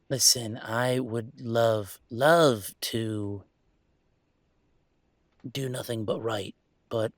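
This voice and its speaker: A man's voice: mid-range voice, no room sound. A man playing a restless young werewolf pack heir in an intimate audio roleplay, rambling conversationally with wry, wistful humor.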